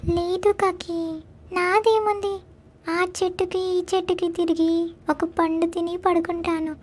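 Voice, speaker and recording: A discontented bird from a children's animated fable, played by a woman. The voice is high and childlike in pitch with a soft, mellow tone. It talks in a complaining, self-deprecating way. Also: unhurried. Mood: modest, wishful. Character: discontented forest birds: swan, parrot, caged peacock. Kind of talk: children's animated moral story.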